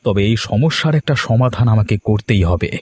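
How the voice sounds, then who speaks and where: A man's voice: on the low side, lively intonation, fairly quick, background noise, close to an everyday voice. A man playing an earnest, wronged and hot-headed villager in a cartoon story, speaking emphatically. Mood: resolved. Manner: emphatic, declamatory. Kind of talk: Bengali cartoon story acting.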